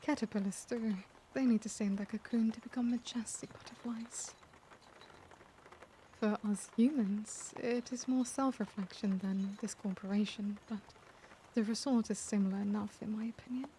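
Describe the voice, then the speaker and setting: A woman voicing an elegant, caring vampire lady in a soft-spoken ASMR roleplay, speaking gently with formal, old-world courtesy. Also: medium pitch, steady tone, moderate pace, a little hiss or hum, close to an everyday voice. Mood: reflective. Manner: genteel, gentle, doting, formal wording.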